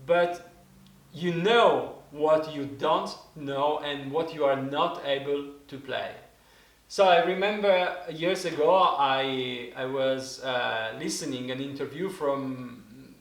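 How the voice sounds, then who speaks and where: Italian-accented music teacher in his forties, slow, restarts often, roomy echo. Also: medium pitch. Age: 40s. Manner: halting, searching for words, many restarts. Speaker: didgeridoo teacher. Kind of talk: casual spoken lesson.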